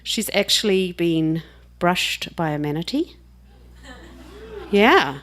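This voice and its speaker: A woman's voice: on the low side, expressive, slow, fairly bright tone. Chatty New Zealand woman hosting. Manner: chatty and jokey, laughing between remarks. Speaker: host introducing a reader. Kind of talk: casual spoken introduction at a live reading.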